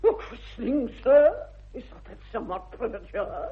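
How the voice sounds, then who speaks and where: A woman's voice: fairly high pitch, sing-song, unhurried, slightly rough, exaggerated voice. An actress playing a snobbish, haughty older aristocratic lady with an upper-class English accent, pompous and precise, in a British radio comedy. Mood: indignant. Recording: dull vintage radio recording.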